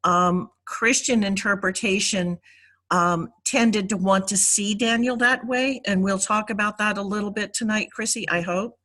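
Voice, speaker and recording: Woman teaching in an informal, deliberate way. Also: mid-range voice, fairly even intonation, pushed and bright. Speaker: priest teaching a Bible class.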